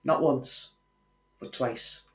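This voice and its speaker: A man hosting a true crime channel, telling a case in scripted narration with wry conversational asides to the viewer. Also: drawn-out words. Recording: echoey room.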